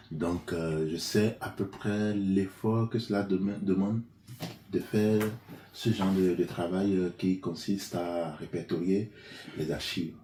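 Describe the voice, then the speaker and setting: A man's voice: deep voice, dark tone. A man from Benin, an interview guest, answering in a hesitant conversational way with many fillers and restarts. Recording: echoey room, faint noise.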